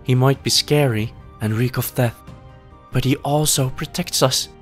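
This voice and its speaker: Casting: man playing gentle skeleton minion for fantasy audio drama. High, raised voice, earnest, soothing, protective; music underneath. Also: a little slow, close to an everyday voice. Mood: reassuring, earnest. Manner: soothing, tender reassurance. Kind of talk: fantasy audio play character voice.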